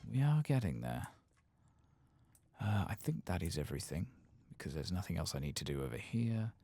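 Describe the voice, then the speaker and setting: A man softly murmuring low-key ASMR game commentary to himself, breathy and gravelly, often slipping into a whisper. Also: sing-song, moderate pace, no room sound. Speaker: streamer playing a video game.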